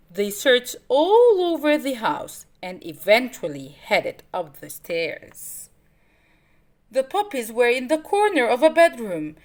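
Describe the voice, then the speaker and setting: A woman reading a children's story aloud in an expressive, dramatic way. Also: high voice. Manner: expressive, dramatic, deliberate phrasing.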